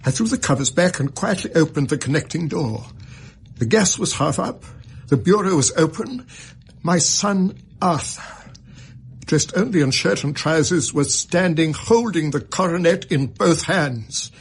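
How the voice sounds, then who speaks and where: A man's voice: fairly high pitch, lively intonation, moderate pace. An actor playing an anguished, respectable middle-aged Victorian banker and father, with an English accent and formal, earnest, precise diction in a radio drama. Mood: distressed, earnest. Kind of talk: radio drama acting, narrating a confession. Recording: radio drama with faint background noise.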